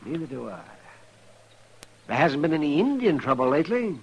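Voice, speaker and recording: An actor playing a blunt frontier town doctor in a 1950s radio western. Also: male voice, fairly high pitch, big pitch swings, moderate pace, breathy edge, muffled recording, exaggerated voice. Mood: puzzled, concerned. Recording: faintly noisy 1950s radio recording.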